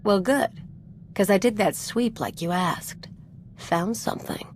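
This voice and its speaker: An actress as a brash female rogue aboard a starship in a video game. Her mid-pitched voice is offhand and clipped, with light ambience behind it. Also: measured pace, a little hiss or hum, lightly acted. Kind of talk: video game cutscene acting.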